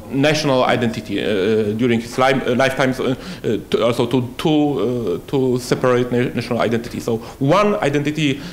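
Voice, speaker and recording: Male panelist, mid pitch, hesitant and filler-heavy, faint rumble in the room. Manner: halting, many fillers, searching for words. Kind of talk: academic panel discussion.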